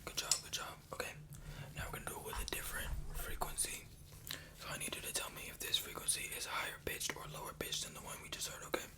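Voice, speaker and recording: A man whispering in a breathy voice as an ASMR medical examiner, giving step-by-step instructions with soft, repeated reassurance. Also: quick pace. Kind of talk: fast whispered ASMR medical exam roleplay.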